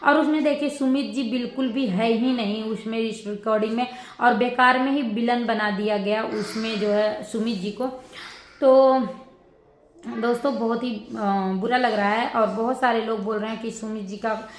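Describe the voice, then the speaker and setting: High-voiced woman in an echoey room. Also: fairly even intonation. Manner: rambling, repetitive, halting. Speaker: vlogger. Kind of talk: casual reaction commentary addressed to viewers. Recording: roomy echo with background noise.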